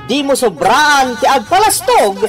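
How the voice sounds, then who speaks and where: A woman's voice: high-pitched, sing-song, unhurried, a bit bright, soft background music, poor audio. A woman announcing a radio drama with a theatrical, exclamatory scripted delivery. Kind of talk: dramatic scripted programme introduction.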